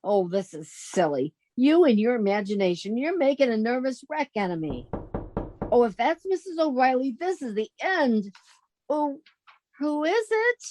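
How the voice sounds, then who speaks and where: A woman's voice: a little high, very animated, moderate pace, a little mellow. A woman playing a sensible, wisecracking, exasperated young roommate in a 1940s-style radio comedy. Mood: exasperated, wry.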